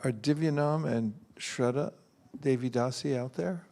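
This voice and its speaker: A man's voice: medium pitch, a little slow, vocal fry, a little mellow, slight background noise. A man leading a pilgrimage session, briefly calling on speakers by name. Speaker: pilgrimage leader.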